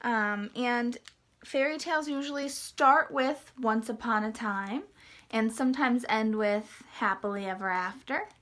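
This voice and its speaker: Female children's storyteller, higher-pitched and very bright, expressive, explains things simply. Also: unhurried. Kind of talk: storytelling read-aloud for young children.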